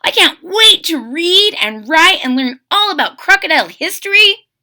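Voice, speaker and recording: A woman's voice: very high voice, very animated, moderate pace, bright, forceful tone, voice pushed up, heavily acted. A woman voicing a sweet, eager young boy crocodile in a storybook read-aloud, earnest and childlike.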